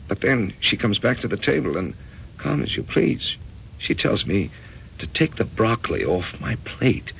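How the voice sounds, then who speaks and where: An actor playing a bewildered, conventional middle-aged American husband in a radio drama, with a gravelly, hesitant delivery. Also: male voice, lowish voice, sing-song, a bit bright, a little hiss or hum, heavily acted. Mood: bewildered, distressed. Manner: hesitant, halting. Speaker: actor and first-person narrator. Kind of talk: old-time radio drama acting. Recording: dull, muffled radio drama recording.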